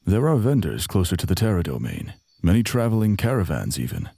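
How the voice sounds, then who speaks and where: A man playing a worldly, genial traveling companion in a fantasy audio drama, speaking in formal, measured phrases. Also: low voice, very animated, gravelly, mellow, breathy edge, dry recording, full character voice. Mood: composed.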